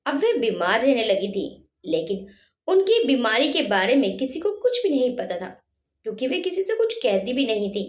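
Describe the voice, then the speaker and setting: A woman narrating a scripted family story in an emotive, expressive way. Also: a little high, moderate pace. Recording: roomy echo.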